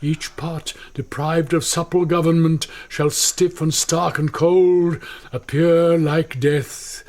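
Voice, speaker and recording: A husky, slow-spoken elderly British friar. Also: male voice, a little high, darkish tone. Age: older. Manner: measured, declamatory. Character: grave elderly friar, fatherly and urgent. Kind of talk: classical Shakespearean radio acting.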